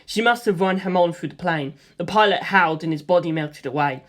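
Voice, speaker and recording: A man reading a first-person action story aloud in a lively way, voicing gunfire sound effects himself. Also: high-pitched, fairly quick. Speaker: audiobook reader.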